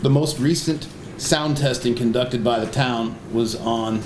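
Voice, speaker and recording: A man's voice: medium pitch, unhurried. A man chairing a town board meeting and giving a report. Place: indoor public meeting room. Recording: echoey meeting room with clear background noise.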